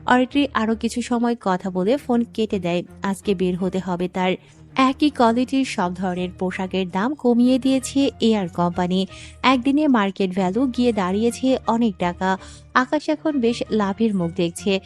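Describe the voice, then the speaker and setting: A woman narrates a scripted audio story in a middle-pitched voice. Music plays softly behind her narration. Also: moderate pace.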